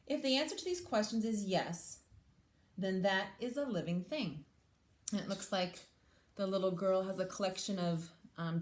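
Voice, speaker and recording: A woman teacher reading a picture book aloud to children, adding simple explanations and questions for her young listeners. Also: on the low side. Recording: echoey room sound.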